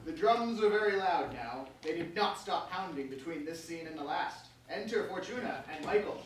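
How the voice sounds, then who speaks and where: A man's voice: on the high side, animated, normal speed. A man narrating stage directions from a script at a staged play reading. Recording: very echoey room, distant mic, hiss.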